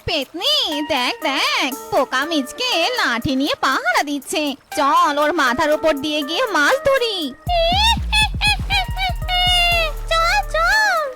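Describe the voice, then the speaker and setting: Cartoon ghost: tiny shrill pitch, slow gloating delivery, greedy and mischievous, over heavy music. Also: female voice, sing-song, heavily acted. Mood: mischievous taunting. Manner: gloating, taunting, cackling. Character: gleeful greedy female ghost stealing fish from a pond. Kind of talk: exaggerated cartoon voice acting.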